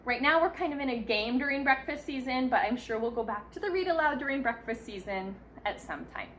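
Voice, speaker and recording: Higher-pitched woman chatting over background noise. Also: lively intonation, brisk, a bit bright. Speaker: mother sharing parenting tips. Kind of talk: casual instructional vlog talk.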